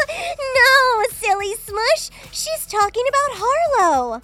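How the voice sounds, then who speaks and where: A woman voicing a sweet, excitable young girl pop singer in a children's audio comedy, perky and gushing. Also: very high voice, very slow, soft background music, heavily acted. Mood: cheerful.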